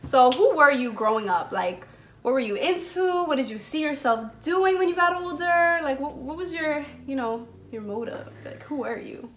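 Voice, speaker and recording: Bright, eager young woman interviewer. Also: sits high, expressive, normal speed, a little hiss or hum. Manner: eager, chatty, reacts with exclamations. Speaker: interviewer, show host. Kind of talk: casual interview chat.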